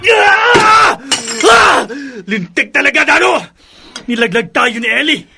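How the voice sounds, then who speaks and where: An actor playing a vengeful, hot-tempered criminal on the run in a radio drama, menacing and raspy. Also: male voice, very high voice, big pitch swings, takes it slowly, shouting, loud music behind the voice, exaggerated voice. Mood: furious. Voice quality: raspy, gravelly. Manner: cursing, menacing.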